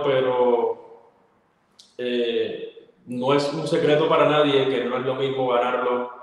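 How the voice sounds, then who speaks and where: A young adult man with a Cuban accent, telling his story as a guest on a video call. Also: medium pitch. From Cuba. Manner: fluent storyteller, frequent fillers. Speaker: guest, actor and model. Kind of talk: casual interview answers. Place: indoor room, plain wall. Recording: echoey room, long reverb.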